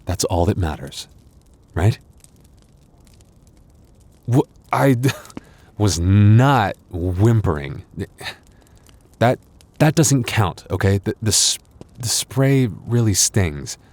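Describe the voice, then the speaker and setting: A man playing a wounded, tender and protective werewolf boyfriend in an intimate ASMR roleplay, speaking in a halting, softly earnest, weary way. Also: lowish voice, animated, no room sound, full character voice. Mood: weary, reassuring, then sheepish, flustered. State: weary, hurting.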